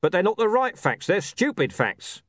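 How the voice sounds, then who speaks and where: An honest, dutiful captain of the watch, read by a male narrator in an audiobook. He sounds respectful yet firm, in a raised, high voice with lively pitch movement. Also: moderate pace, no room sound, heavily acted. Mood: earnest, insistent.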